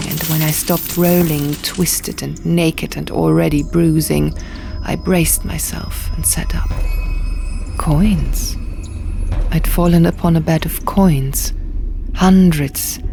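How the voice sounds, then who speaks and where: A woman reading a fantasy audiobook dramatically in the first person, in hushed, deliberate short phrases, over music and sound effects. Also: low voice, fairly even intonation, vocal fry. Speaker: audiobook narrator.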